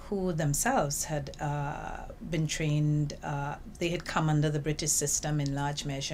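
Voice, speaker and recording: An older woman speaks with a Trinidadian accent in a low, warm-set register, telling her story in a lively way. A faint hiss sits under the recording from a small office. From Trinidad and Tobago. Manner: lively storytelling, acts out quoted remarks. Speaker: interviewee, UN information officer. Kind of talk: reflective interview answers.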